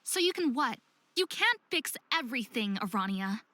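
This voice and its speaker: A woman's voice: a little high, sing-song, normal speed, pushed and bright, dry recording. A woman playing a troubled, self-hating young half-faerie in a visual novel, with earnest, emotional delivery. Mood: frustrated. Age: young adult.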